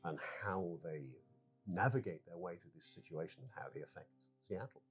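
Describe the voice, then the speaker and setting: A man explaining a game as its developer in an interview, halting and repeating words as he goes. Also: low voice, slightly rough, faint music behind.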